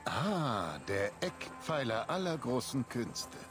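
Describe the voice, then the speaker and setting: A sardonic, lively-toned assassin. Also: male voice, mid-range voice, slow, over music. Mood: dry, mocking. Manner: dry, sardonic. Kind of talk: dramatic video game dialogue.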